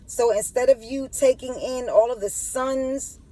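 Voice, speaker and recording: A woman's voice: sits high, measured pace, mellow, rough recording. A woman hosting a spiritual monologue who stutters and keeps asking the listener "you know what I'm saying". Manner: stuttering, repeats filler phrases. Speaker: channel host.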